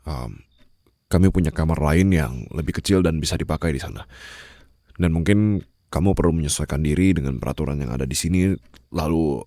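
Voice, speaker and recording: A man acting a stern but caring mafia boss in an ASMR roleplay, speaking formally and haltingly into a close microphone. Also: a bass voice, mellow, dry recording. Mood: apologetic, hesitant. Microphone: close studio-style microphone, dry.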